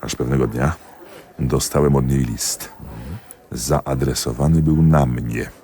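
An actor in a radio drama playing a jealous husband and magistrate, confiding ruefully and emphatically to a friend. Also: male voice, a bass voice, fairly even intonation, slow pace, mellow, slight background noise. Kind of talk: theatrical radio drama monologue.

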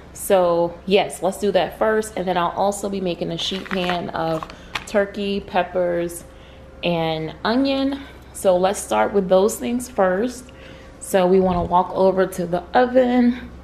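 A woman in her forties walks through her cooking in a casual, chatty way, her voice low and a little gravelly. The camera mic picks her up in a home kitchen with steady noise underneath. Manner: chatty, step-by-step, laughs now and then. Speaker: cooking vlogger. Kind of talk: casual cook-along narration. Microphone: camera mic, close to mid distance. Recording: noisy home kitchen recording.

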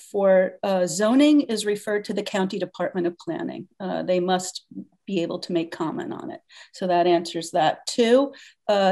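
An older woman runs a council hearing over a laptop webcam from her dining room. She speaks in a brisk, procedural way at a middling pitch and sets out her answers point by point. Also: darkish tone. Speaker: town council chair running a public hearing. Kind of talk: meeting procedure on a video call. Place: home dining room indoors. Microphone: laptop webcam on a video call.

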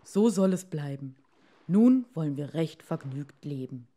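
A woman's voice: low-pitched, expressive, unhurried, mellow, voice pushed down. Woman playing a meek, reluctant fisherman husband. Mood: contented. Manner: reluctant, downcast.

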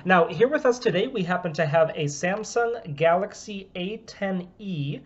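A man's voice: high voice, lively intonation, normal speed. A man in his thirties from the US, steadily explaining a phone unlock demo in a small room at home. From United States. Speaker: phone repair business owner. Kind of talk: product demonstration. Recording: dull, lo-fi camera audio.

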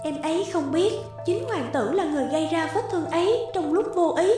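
A woman voicing a naive, gentle young princess in an animated fairy tale, speaking in an earnest, soft-hearted way. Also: very high-pitched, brisk. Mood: hesitant, worried. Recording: music under the voice.

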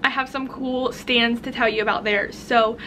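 A woman's voice: a little high, steady tone, moderate pace, poor audio. A young American woman chatting informally and casually, as a vlogger talking to her viewers. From United States. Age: young adult. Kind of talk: casual vlog chat.

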